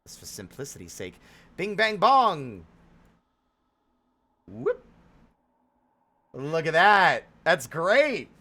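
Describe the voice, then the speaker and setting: Guy streamer, kinda high voice, super animated and playful, rambly chat, goes all theatrical. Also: slow, pauses often. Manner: playful, theatrical, rambling, with sing-song exclamations. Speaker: live streamer, host. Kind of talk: casual livestream chat with viewers.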